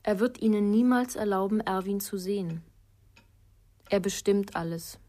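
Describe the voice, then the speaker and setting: A woman's voice: mid-range voice, moderate pace, mellow, slight background noise, lightly acted. An actress playing a guarded, fearful young woman in a radio crime drama, speaking in short, reticent statements. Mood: guarded, flat. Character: guarded, fearful young woman protecting her half-brother.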